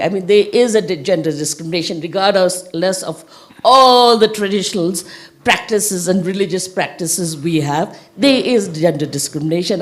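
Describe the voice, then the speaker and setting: A woman from the audience speaking up loudly and forthrightly. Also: lowish voice. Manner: loud, forthright, emphatic. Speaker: audience member commenting. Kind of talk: panel discussion comment.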